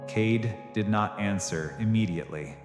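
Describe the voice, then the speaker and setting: A man's voice: lowish voice, moderate pace, roomy sound, long reverb tail. A man reading scripted, dramatic science fiction narration as the narrator of an audio drama. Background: music.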